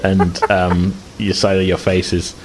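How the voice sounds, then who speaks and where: Man, game master, lowish voice, relaxed, halting, faint hiss. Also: rough recording. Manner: relaxed, hesitant with many fillers. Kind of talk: narrating a tabletop game.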